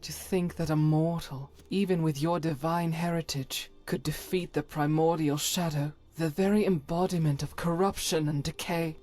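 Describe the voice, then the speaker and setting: A woman's voice: low-pitched, fairly even intonation, moderate pace, few pauses, darkish tone, faint music behind. A woman playing a devout, solemn holy warrior in a fantasy game, speaking in formal, archaic and earnest phrases. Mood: awed, triumphant. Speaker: voice actor for a game companion. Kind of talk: dramatic scripted fantasy game dialogue.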